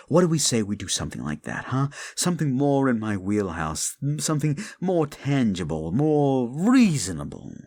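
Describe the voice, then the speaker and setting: A man playing a haughty, seductive fallen-angel demon in an intimate audio roleplay, with a gravelly voice and archly formal, taunting delivery. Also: full character voice. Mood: sly, seductive. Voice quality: gravelly, creaky low voice. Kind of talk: intimate second-person audio roleplay acting.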